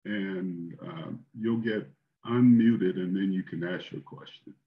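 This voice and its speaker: Slow, low-voiced older man. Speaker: storyteller and host. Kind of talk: expressive read-aloud storytelling, then casual chat. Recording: dull, lo-fi online call audio.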